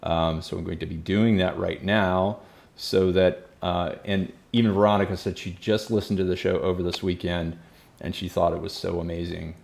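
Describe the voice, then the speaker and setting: Low gravelly-voiced podcast host. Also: male voice, little pitch movement, normal speed, a little mellow. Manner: friendly, upbeat questioning. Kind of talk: casual chat.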